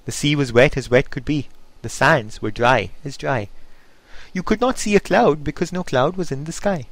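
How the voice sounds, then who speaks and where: A man reading a poem aloud in a theatrical, expressive storytelling manner. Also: on the high side, normal speed, no echo. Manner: theatrical, putting on voices for dialogue. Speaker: reader. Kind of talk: expressive poetry recitation.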